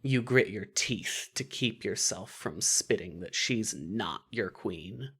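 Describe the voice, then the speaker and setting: Expressive, lively fiction narrator. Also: deep voice, slow, breathy edge. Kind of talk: scripted second-person story narration.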